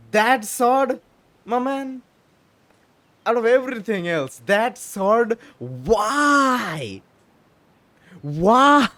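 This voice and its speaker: Casting: male gaming streamer, high voice, very animated, exclamatory and repetitive, dry close mic. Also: dry recording. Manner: exclamatory, repetitive, talks to the game characters. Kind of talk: casual gameplay reaction commentary.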